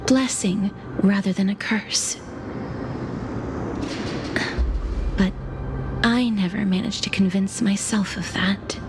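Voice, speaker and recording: A woman voicing a gentle, solemn immortal maiden in a video game, with soft, breathy, earnest delivery. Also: medium pitch, steady tone, a little slow, background noise, lightly acted. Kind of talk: dramatic video game voice acting.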